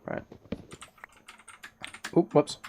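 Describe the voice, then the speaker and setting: A man's voice: very slow, dark tone. A man live streaming a game, rambling and thinking aloud as he chats casually with viewers. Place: indoors at a computer, keyboard clicks behind.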